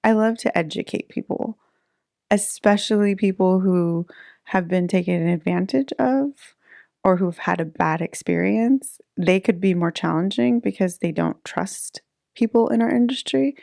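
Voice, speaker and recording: A woman's voice: mid-range voice, unhurried, vocal fry, a little mellow, dry recording, studio quality. A woman in her thirties, a real estate agent chatting casually in an interview, laughing easily between fillers. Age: 30s.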